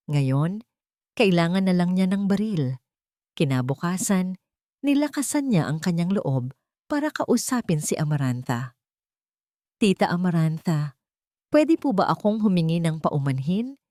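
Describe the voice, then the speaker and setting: A woman's voice: low-pitched, dark tone, no echo, clean studio sound. A woman reading a scripted dramatic audio story as narrator.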